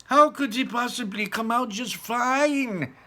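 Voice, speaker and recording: A man's voice: very high-pitched, a little slow, sharp tone, pitched above the performer's own voice, heavily acted. A man voicing a frantic, indignant storybook mother who screams and snaps in outrage. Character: shrill, frantic, indignant mother of a greedy boy.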